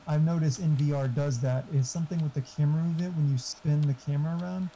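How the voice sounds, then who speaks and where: A man's voice: fairly high pitch, dark tone, a little hiss or hum, poor audio. A man chatting casually, explaining tech settings in an informal, mildly profane way. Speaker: friend giving tech advice. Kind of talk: casual chat.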